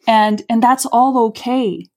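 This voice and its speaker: A woman's voice: on the high side, slow, mellow. Earnest woman playwright on a podcast. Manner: earnest, reflective, repeats words when emphatic. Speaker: playwright guest. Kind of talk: podcast interview.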